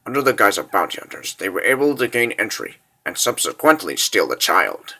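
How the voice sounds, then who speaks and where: A man playing a sinister, calculating military spymaster in a fantasy comic dub, speaking in a measured, insinuating hush. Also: huge pitch swings, gravelly, exaggerated voice. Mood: grave, measured. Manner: measured, insinuating, low and hushed.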